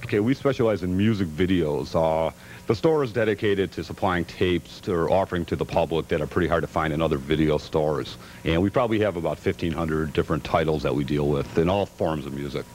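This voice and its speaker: A man in a TV interview explaining his store's stock in a halting, matter-of-fact way. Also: sits low, a little mellow, low-quality recording. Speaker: video store staffer. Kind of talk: interview soundbite. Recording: faint noise on TV interview.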